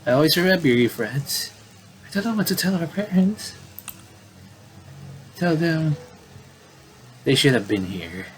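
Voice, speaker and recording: A man voicing a worried, affectionate young sibling from a horror game in an earnest, halting way. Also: on the high side, big pitch swings, a little hiss or hum, voice pushed up, poor audio. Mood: sad, pleading.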